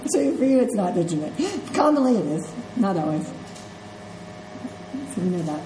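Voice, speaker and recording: Congested middle-aged woman, nursing instructor, low mellow voice, casual lecture in a roomy classroom. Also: big pitch swings, moderate pace, a little hiss or hum. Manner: conversational, checks in with "okay?" and "right?". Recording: echoey classroom with faint ambience.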